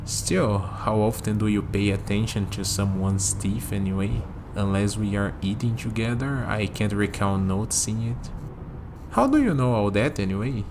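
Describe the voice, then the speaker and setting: A man playing a curious, skeptical young human in an ASMR vampire roleplay, talking hesitantly to the listener and pausing for replies, with steady noise behind him. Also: lowish voice, close to an everyday voice. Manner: hesitant, conversational, leaves pauses for unheard replies.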